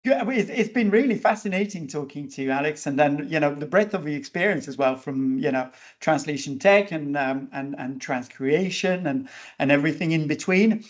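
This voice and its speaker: A man's voice: a little high, expressive, a little fast. A man hosting an interview podcast, talking casually with many fillers and restarts. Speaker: podcast host.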